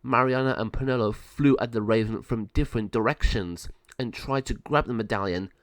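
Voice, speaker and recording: A man's voice: medium pitch, fairly quick, dry recording. A man reading a children's adventure story aloud as a scripted narration.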